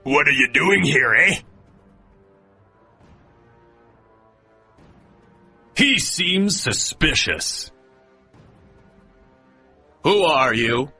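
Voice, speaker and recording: Gloating cartoon tyrant, raspy, big pitch swings, imperious, menacing, music behind. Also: male voice, expressive, takes it slowly, bright, forceful tone, exaggerated voice. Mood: suspicious. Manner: barking, imperious. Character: harsh, gloating, menacing man of authority. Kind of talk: children's cartoon voice acting. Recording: music underneath.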